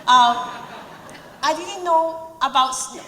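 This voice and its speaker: A woman's voice: high-pitched, slow, fairly bright tone, slight background noise. Peruvian woman speaking in echoing hall. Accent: Peruvian Spanish. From Peru. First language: Spanish. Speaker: speaker at a community event. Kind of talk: prepared speech. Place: event hall. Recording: roomy hall reverb.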